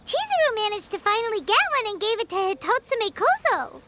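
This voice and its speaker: A woman voicing a tiny, childlike, excitable fairy companion with a squeaky voice in an anime game. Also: fairly quick, slight background noise, heavily acted. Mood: teasing. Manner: exclaiming, third-person self-reference.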